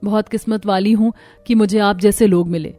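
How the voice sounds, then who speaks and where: A woman's voice: medium pitch, fairly even intonation, normal speed, mellow, lightly acted. Woman playing an earnest, repentant young daughter-in-law. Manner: earnest, apologetic. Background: music.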